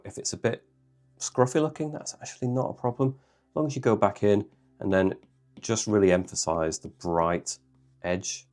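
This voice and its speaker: Deep gravelly guy w a stuffy cold, chill drawing tutorial talk, faint music behind. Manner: calm, reassuring, thinks aloud. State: bunged up with a cold. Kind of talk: relaxed step-by-step tutorial narration.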